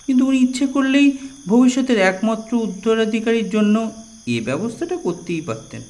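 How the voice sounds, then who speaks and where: A man's voice: very high voice, expressive, normal speed, darkish tone. A man playing a naive young heir. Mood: plaintive. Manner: hesitant, stumbling speech. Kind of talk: audio drama acting.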